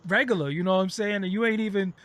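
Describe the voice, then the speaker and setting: A man's voice: high-pitched, fast, fairly bright tone, dry recording. A man hosting an online interview, chatting casually with lots of fillers and street slang. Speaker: host.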